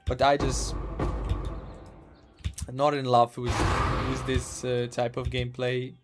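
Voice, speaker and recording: A man from Ukraine, casually commentating a live game stream, halting and repeating words as he talks. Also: mid-range voice, little pitch movement, loud music behind the voice.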